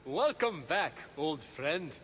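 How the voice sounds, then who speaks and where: A man's voice: a little high, sing-song, slow pace, a little hiss or hum, exaggerated voice. A man playing a playful, casual trickster messenger god in a video game cutscene. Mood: wry. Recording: loud game music underneath.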